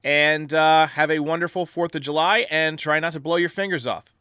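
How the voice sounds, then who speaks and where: A man with a bright, forceful voice makes his case with emphasis and conviction as he answers fan voicemails. His voice sits a bit higher than most men's. The sound is close and dry, with no room around it. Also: steady tone, normal speed, clean tone, no echo. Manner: emphatic, argumentative. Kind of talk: casual opinionated sports talk.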